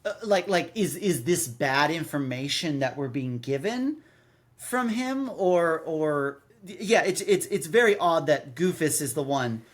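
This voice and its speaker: A man co-hosting a podcast in casual review chat, halting and repeating words as he thinks. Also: fairly high pitch, animated. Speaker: podcast co-host.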